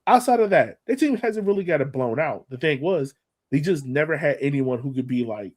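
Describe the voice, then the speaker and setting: A man's voice: fairly high pitch, animated, a little fast, darkish tone. Man giving emphatic, colloquial soccer podcast analysis. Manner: emphatic, repeats for effect, colloquial. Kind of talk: casual sports analysis.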